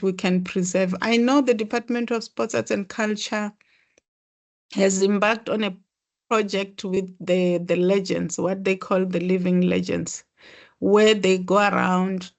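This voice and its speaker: Slow, gravelly South African woman. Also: mid-range voice. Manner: measured, earnest, speaks in short phrases. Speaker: panelist. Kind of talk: webinar panel answer. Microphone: video call.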